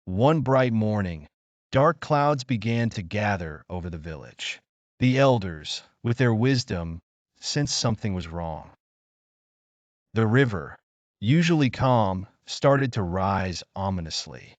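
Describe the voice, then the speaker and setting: Male narrator for children's tales, lowish register, highly animated intonation, earnest and measured. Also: dry recording. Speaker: storyteller. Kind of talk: scripted children's story narration.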